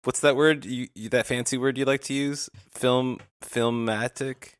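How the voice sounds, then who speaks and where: A man's voice: medium pitch, steady tone, unhurried, smooth, no room sound. A man co-hosting a podcast, chatting informally about history with some swearing. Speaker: podcast co-host. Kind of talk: casual history discussion.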